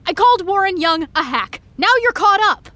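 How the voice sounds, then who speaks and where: A woman's voice: extremely high pitch, expressive, normal speed, bright, forceful tone, no room sound, full character voice. An actress playing an upset, indignant young female fan in an audio drama, emphatic and sarcastic with her friend. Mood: distraught. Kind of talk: naturalistic audio drama acting.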